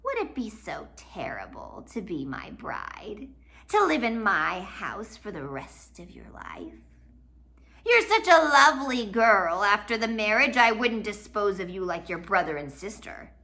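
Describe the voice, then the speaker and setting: A woman's voice: mid-range voice, sing-song, a little slow, creaky, pushed and bright, exaggerated voice. A woman voicing a scheming, villainous man in a storybook, menacing and mock-kindly, drawing out his words to taunt. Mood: mock-kindly menace.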